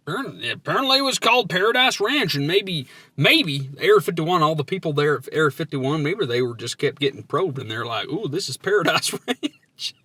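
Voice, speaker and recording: High-pitched, animated man bantering. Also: a little fast, slightly rough, no room sound. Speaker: comedy podcast host. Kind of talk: casual comedic banter.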